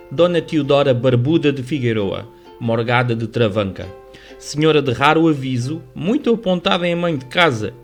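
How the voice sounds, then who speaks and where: Slightly high-voiced Portuguese male radio narrator. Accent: European Portuguese. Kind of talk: scripted literary narration for radio drama. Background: music under the voice.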